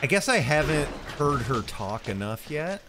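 A man's voice: on the high side, very animated, normal speed, over music. A man playing a co-op game with a friend, making laid-back, wisecracking comments over voice chat. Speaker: co-op gaming partner. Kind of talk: casual gameplay chat.

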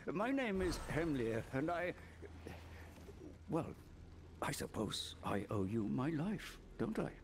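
An actor playing a hearty, folksy druid in a video game. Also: male voice, fairly high pitch, very animated, takes it slowly, long pauses, slight background noise, full character voice. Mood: jovial, grateful. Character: hearty bearded druid grateful for his rescue. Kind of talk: video game character acting.

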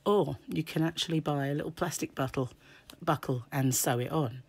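A woman with a notably low, croaky voice talks through a crochet lesson at home. She rambles casually with plenty of ums and clears her throat now and then. Her voice sounds hoarse throughout. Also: moderate pace. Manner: chatty, many fillers, frequent throat clearing. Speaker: crochet tutor. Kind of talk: casual tutorial explanation. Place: home table, indoors. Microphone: camera over hands, speaker off-screen.

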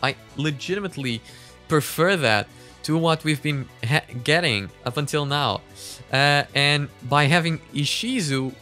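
A man in his twenties giving casual, sweary reaction commentary in a halting way, close on a studio-style mic at home. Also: medium pitch, bright, forceful tone. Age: 20s. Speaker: reaction video host. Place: small home room, indoors. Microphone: microphone on boom arm, headphones.

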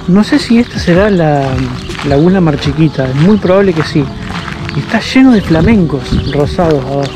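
A man in his thirties with an Argentine accent, chatting casually to an action camera held at arm's length outdoors while touring by bike. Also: a little high. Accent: Argentine Spanish. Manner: chatty, easygoing, thinks aloud with fillers. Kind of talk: casual travel vlog talk to camera. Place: outdoors at an abandoned campground.

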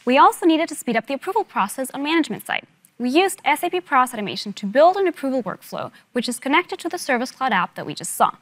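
High-pitched woman presenting a software demo. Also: very animated, fast talker. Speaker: software presenter at a company keynote. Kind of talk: rehearsed product demo presentation.

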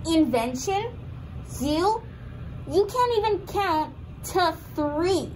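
A woman's voice: very high-pitched, animated, slow pace, darkish tone, heavily acted, rough recording. A young woman voicing a snooty, dismissive female puppet in a scripted puppet show. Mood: scornful. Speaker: student puppeteer. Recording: faint background noise.

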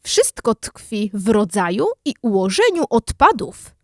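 A woman playing a calm, helpful fairy in a children's audio story, reassuring as she explains things. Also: medium pitch, measured pace, dry recording, studio quality. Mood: instructive.

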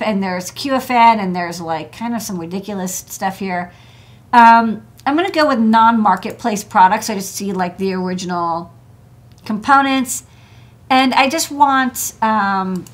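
A woman in her thirties, an electronics engineer hosting a desk show, walking through parts in a casual, chatty way with many fillers and restarts, seated at her workshop desk. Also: medium pitch. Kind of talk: casual technical walkthrough.

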